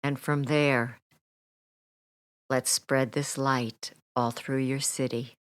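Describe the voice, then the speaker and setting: A woman with a very deep voice guides a relaxation meditation. Her delivery is slow, gentle and soothing, with deliberate pauses and soft, near-whispered phrase endings. The sound is close and dry. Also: little pitch movement, clean tone, no echo. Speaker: hypnotherapist guiding a meditation.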